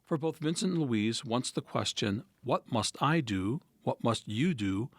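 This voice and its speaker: A man reading a written essay as a priest, in a measured, deliberate and formal way. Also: medium pitch, lively intonation, no echo.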